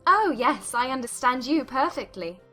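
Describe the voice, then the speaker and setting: Audiobook role: self-assured, witty young woman, acted by a reader, slightly high, bright, teasing. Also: animated, normal speed, soft background music, exaggerated voice. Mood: playful. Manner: teasing, articulate. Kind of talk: dramatized audiobook character reading.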